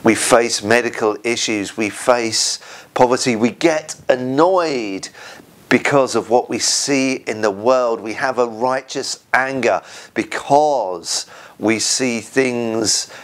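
A man in his forties with an English accent, a church minister giving an earnest, informal sermon in short, halting phrases, recorded indoors on a lapel mic. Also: on the low side. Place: indoors, small room at home.

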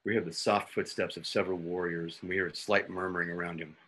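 Low-voiced man narrating a radio play. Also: flat delivery, fast, fairly bright tone, rough recording. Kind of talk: scripted dramatic narration with sound-cue directions. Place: home room on a video call.

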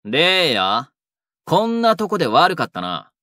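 A man playing an earnest, quietly lovestruck young delinquent in a romantic audio drama. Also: a little high, wildly swooping pitch, a little slow, no room sound, studio quality, heavily acted. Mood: offhand.

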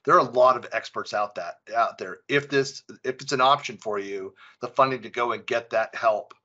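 A middle-aged man speaking casually on a video-call panel, halting and often rephrasing himself. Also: mid-range voice, pushed and bright. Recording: slightly dull video call audio.

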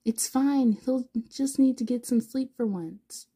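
A woman voicing an excitable, easily amused husband and father. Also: high voice, measured pace, husky, pitched above the performer's own voice. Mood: reassuring.

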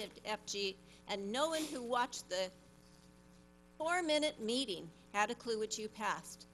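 A woman's voice: a little high, a bit bright, a little hiss or hum. A woman giving public comment from the audience of a council chamber, reading a statement and speaking with indignation. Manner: confrontational, indignant. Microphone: standing microphone at her seat.

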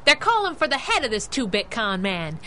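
An actress playing a tough, wisecracking young crimefighting sidekick in a 1930s-style radio drama. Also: female voice, fairly high pitch, sing-song, brisk, sharp tone, slight background noise. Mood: brisk, amused. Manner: wisecracking, teasing.

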